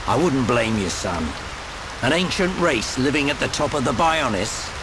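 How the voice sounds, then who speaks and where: Male actor as seasoned gruff mentor in a game, worldly and teasing, mid-pitched, noisy backdrop. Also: expressive, normal speed, natural voice. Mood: wry, knowing. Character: worldly, wry older male veteran adventurer, gruff mentor. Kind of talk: video game cutscene voice acting.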